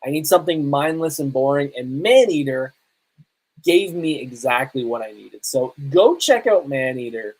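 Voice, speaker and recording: A man's voice: mid-range voice, expressive, moderate pace, darkish tone, low-quality recording. A man, chatting casually and enthusiastically as a solo podcast host. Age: 30s. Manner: conversational, enthusiastic, emphatic. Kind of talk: casual solo podcast chat on pop culture and games.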